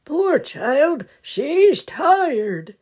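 A woman voicing a kindly, sympathetic old grandfather bear in a storybook, with drawn-out exclamations. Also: high voice, sing-song, darkish tone, pitched above the performer's own voice, exaggerated voice. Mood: pitying.

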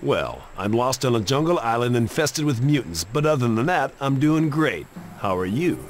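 An actor playing a tough, sarcastic action hero in a video game cutscene, gritty and defiant. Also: male voice, mid-range voice, big pitch swings, normal speed, slight background noise, close to an everyday voice. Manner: sardonic.

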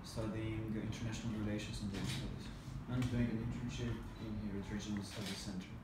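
A man's voice: on the low side, fairly even intonation, quick pace. A young man from Armenia, a final-year student intern introducing himself. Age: young adult. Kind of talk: informal self-introduction. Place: small office meeting room. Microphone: distant camera mic. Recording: echoey, very noisy room, far mic.

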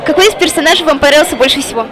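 A woman's voice: high-pitched, animated, racing. A woman working as a TV reporter, presenting and asking questions on location in a cinema foyer. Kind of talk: on-location vox-pop interviewing. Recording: busy public hall with crowd.

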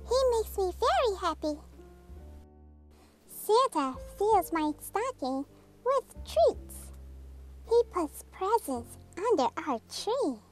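A woman voicing a sweet, excited little girl kitten in a children's storybook. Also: extremely high pitch, slow pace, pauses often, voice pushed up, heavily acted. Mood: delighted, adoring. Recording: music behind the voice.

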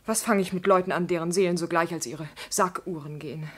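An actress playing a proud, passionate noblewoman in a 1950s German radio drama, husky and theatrical. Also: fairly low pitch, steady tone, brisk. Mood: weary, restless. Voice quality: husky, breathy. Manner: impassioned, theatrical, shifting into hushed tones.

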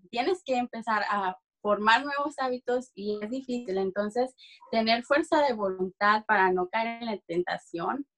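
Young mexican woman telling her own story on a video call, kinda halting, searching for words. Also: on the high side, fairly bright tone. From Durango, Mexico. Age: young adult. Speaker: interview guest giving a testimonial. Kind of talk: conversational personal testimony. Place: small room at home, video call.

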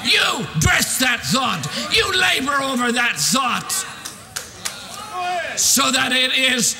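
A man in his sixties preaching a fervent sermon in an emphatic, declamatory way with drawn-out stressed phrases. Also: extremely high pitch, big pitch swings, vocal fry, raised voice, over music. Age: 60s. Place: large church sanctuary, at a pulpit. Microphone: podium microphone. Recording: reverberant hall sound.

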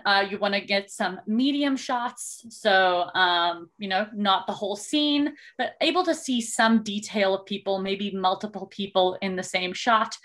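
South african lady teaching video basics on a zoom call, mid pitch, lots of ums, chatty. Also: fairly bright tone. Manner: chatty, explanatory, many ums and asides. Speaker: video workshop instructor. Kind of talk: online workshop teaching over slides.

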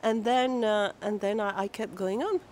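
A woman's voice: mid-range voice, fairly even intonation, darkish tone. A woman with a French accent and French as her first language, chatting casually as a guest on a hotel terrace at night, restarting her sentences often. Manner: halting, restarts mid-sentence. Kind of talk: casual chat. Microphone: clip-on mic.

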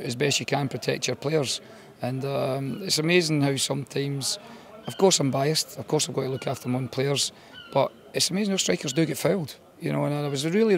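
Scottish man, fifties, football manager, quick gravelly delivery, earnest post-match interview. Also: a little high. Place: bare indoor room with painted brick walls.

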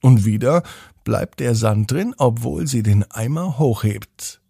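A man's voice: mid-range voice, animated, slow, creaky, dark tone, slightly rough, no room sound, clean studio sound. A man hosting a children's podcast, narrating a bedtime story expressively and speaking directly to children.

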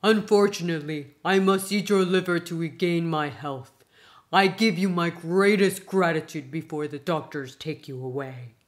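Imperious, ailing undersea Dragon King for a storybook folktale, played by a woman; grand, slow, commanding delivery. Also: lowish voice, natural voice. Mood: solemn, regal.

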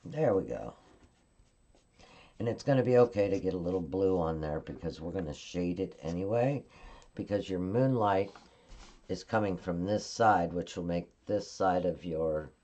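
A woman at her craft table giving a calm, step-by-step card-making tutorial while she works. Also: rumbling low, moderate pace, highs cut off. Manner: calm, instructive, narrating her hands-on work.

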